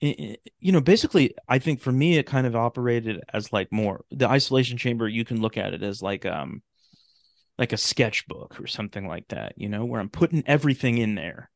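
A man's voice: mid-range voice, expressive, fairly quick, vocal fry, dry recording. A man talking casually as an interview guest, thoughtful and searching for his words. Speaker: podcast guest, writer and radio drama creator.

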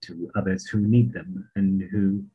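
A man from Palestine with a British accent, a memoir author telling lively stories in an interview and acting out other voices. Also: low-pitched, measured pace, husky, phone-quality sound. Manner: animated anecdotes, laughs often, acts out voices. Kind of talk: storytelling in an interview.

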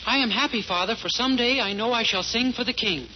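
A man's voice: extremely high pitch, quick pace, a little hiss or hum. A man voicing an earnest, timid poor boy. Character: poor, hopeful young boy singer. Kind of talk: 1940s radio acting. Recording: dull 1940s radio broadcast.

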